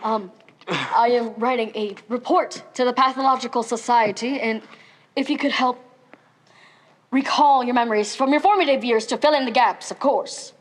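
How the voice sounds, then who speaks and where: Teen girl playing a formal, high-voiced Victorian doctor. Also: moderate pace, close to an everyday voice. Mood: instructing. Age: teenager. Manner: formal, instructing. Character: brisk, formal, controlling Victorian doctor, a man. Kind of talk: high school stage play acting.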